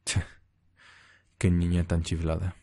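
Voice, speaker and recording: Guy doing a grumpy anime fighter boy, rly deep n mellow, curt, scornful. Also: monotone, slow pace, full character voice. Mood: annoyed. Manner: curt, dismissive. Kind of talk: amateur anime-style audio roleplay acting.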